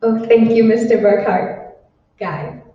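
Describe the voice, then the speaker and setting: A woman's voice: a little high, slow pace. A woman playing a sweet, coaxing secretary who pushes products on her boss in an old-time radio drama. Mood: pleased. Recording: echoey hall, distant mic, dull, faint noise.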